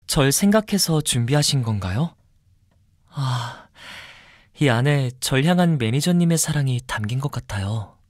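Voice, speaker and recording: Slow, soft-spoken, devoted young man. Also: mid-range voice, animated, dry recording, clean studio sound. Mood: tender, confident. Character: polite, fastidious young man, sweetly devoted and possessive. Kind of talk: mobile game character voice lines.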